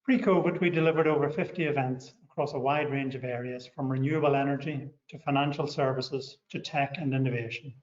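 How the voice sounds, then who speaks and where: A middle-aged man with a Northern Irish accent, a company director giving a measured, scripted overview of his organisation. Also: mid-range voice, fairly even intonation. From Northern Ireland. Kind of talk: scripted promotional talk. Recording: echoey, muffled room sound.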